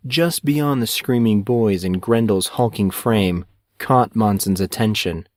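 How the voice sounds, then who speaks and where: Low-pitched male audiobook narrator. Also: dry recording, studio quality. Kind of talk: scripted dramatic story narration.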